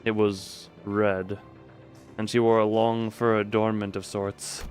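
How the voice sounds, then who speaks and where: A man's voice: lowish voice, level tone, slow, faint music behind. A man streaming a game, chatting casually and reading the story text aloud with dramatic delivery.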